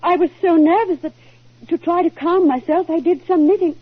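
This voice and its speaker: Casting: jittery, defensive society wife, extremely high voice, tinny vintage radio. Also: female voice, normal speed, no room sound. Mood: nervous. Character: nervous, defensive married woman suspected of murder. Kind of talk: 1940s radio drama acting. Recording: tinny 1940s radio broadcast recording.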